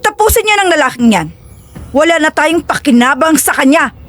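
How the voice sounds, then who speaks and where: Audio drama villainess, female actor: treacherous bandit chief, high voice, contemptuous and bossy. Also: lively intonation, a little fast, fairly bright tone. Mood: cold, scornful. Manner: imperious, contemptuous. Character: ruthless, scornful female bandit leader, treacherous and imperious.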